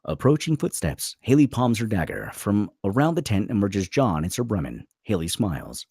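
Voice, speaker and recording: A man reads stage directions as dramatic narration. His voice is a little low and husky, very animated in pitch, with clipped phrasing and a dry, close recording. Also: moderate pace, dry recording. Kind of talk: dramatic scripted narration at a table read.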